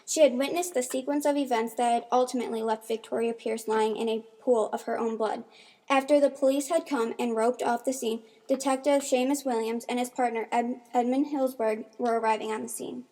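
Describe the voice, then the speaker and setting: A woman's voice: on the high side, fairly even intonation, a little fast, warm tone. A woman reading scripted narration for an amateur radio mystery.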